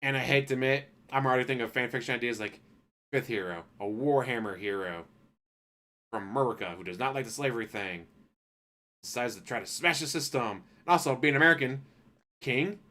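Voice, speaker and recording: A man's voice: mid-range voice, pushed and bright. Profane, ranting male anime reviewer. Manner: acts out characters in quick asides. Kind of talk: casual spoken review.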